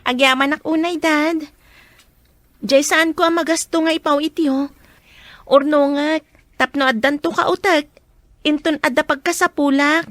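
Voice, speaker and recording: A college-age daughter in a radio drama. Her voice is high and the recording is dry. She comes across as dutiful and affectionate. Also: fairly even intonation, a little slow, pauses often, fairly bright tone, dry recording. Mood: affectionate, grateful.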